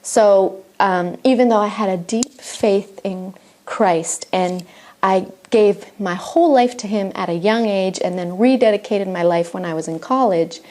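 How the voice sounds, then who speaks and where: A woman's voice: mid-range voice, measured pace, a little mellow. A middle-aged woman from Argentina, a native Spanish speaker, telling her personal faith story in an earnest, reflective way with some restarts. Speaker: missionary. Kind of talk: personal testimony.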